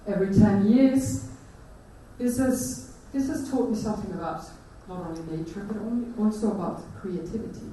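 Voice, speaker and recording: A woman with an Icelandic accent gives a conference talk, pausing often and restarting her sentences. Her voice is mellow and dark. It rings through a large, echoey hall, picked up from a distance with faint noise behind. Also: fairly low pitch. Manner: hesitant, many fillers and restarts. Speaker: conference speaker. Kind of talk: presentation talk.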